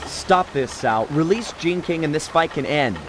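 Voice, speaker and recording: An actor playing a cocky, heroic young thief in a video game, with a cool, confident and wry manner. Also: male voice, fairly high pitch, animated, moderate pace, music in the background, close to an everyday voice. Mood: indignant resolve. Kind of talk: video game cutscene acting.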